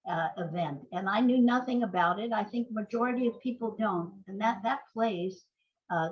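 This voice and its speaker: An older woman who works as a playwright, giving reflective, anecdotal answers in a conversational interview. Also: medium pitch. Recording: dull, lo-fi voice recording.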